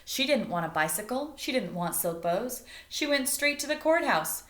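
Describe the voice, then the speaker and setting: A woman reading a picture book aloud like a teacher, expressively, pausing to explain things and put questions to young listeners. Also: a little high, lively intonation, fairly bright tone. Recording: roomy, echoing indoor sound.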